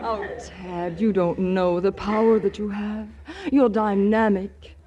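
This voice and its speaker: A woman's voice: mid-range voice, flat delivery, takes it slowly, warm tone, highs cut off, close to an everyday voice. An actress playing a flirtatious, coaxing big-city woman in a 1940s radio comedy, with a theatrical, teasing delivery. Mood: seductive. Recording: noisy 1940s radio broadcast recording.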